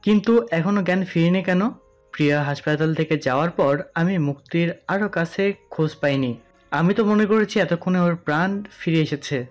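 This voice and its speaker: A devoted young man from a romantic audio drama, played by a man. His voice sits a little high and carries plenty of emotion. Soft music runs behind it. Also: normal speed, close to an everyday voice. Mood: anxious. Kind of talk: Bengali romantic audio story acting. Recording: music under the voice.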